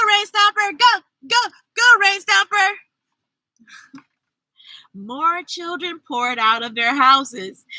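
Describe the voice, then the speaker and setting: A woman reading picture books aloud to children in an exuberant, playful way, with drawn-out words, sound effects and chatty asides. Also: very high voice, sharp tone, no echo, poor audio. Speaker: children's storybook reader and host.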